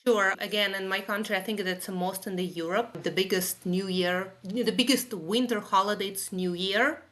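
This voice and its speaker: A woman's voice: mid-range voice, fairly even intonation, normal speed, fairly bright tone. A woman from Russia with a Russian accent, chatting as a podcast guest in non-native English phrasing. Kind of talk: casual conversational interview.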